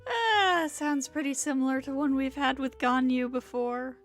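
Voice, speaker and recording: A woman's voice: sits high, a little slow. A woman livestreaming a video game, giving casual commentary and reactions. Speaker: game streamer. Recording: game music behind the voice. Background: video game music.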